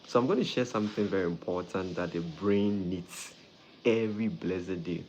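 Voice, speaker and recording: A man from Ghana, hosting a live stream as a doctor and warmly greeting viewers by name. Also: deep voice, moderate pace, slight background noise, poor audio. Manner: friendly, greets viewers by name, repeats phrases.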